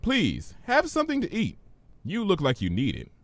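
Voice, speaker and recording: Man voicing a measured, reasonable pony princess. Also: sits high, wildly swooping pitch, no echo, voice pushed up, exaggerated voice. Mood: reassuring. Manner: measured, explanatory. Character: calm, reasonable female pony princess, bookish and reassuring.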